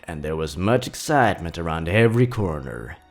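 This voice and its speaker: A man's voice: low voice, very animated, fairly quick, faint music behind, exaggerated voice. A man voicing a folksy old grandmother who tells children an ancient tale in a theatrical way. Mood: ominous storytelling. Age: older.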